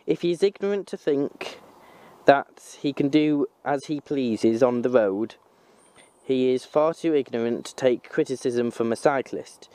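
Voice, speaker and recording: A man's voice: medium pitch, lively intonation, measured pace, dark tone. A young man from North Wales with a slight Manchester accent, chatting casually in a vlog, rambling and correcting himself as he goes. He is recording outdoors on a handheld camera. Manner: rambling, self-correcting, informal. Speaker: vlogger.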